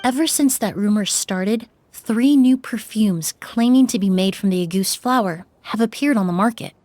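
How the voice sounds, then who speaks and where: An actress playing a young perfumer in a video game, polite, composed and measured. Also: female voice, medium pitch, darkish tone, a little hiss or hum, close to an everyday voice. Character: young female perfumer, poised and earnest.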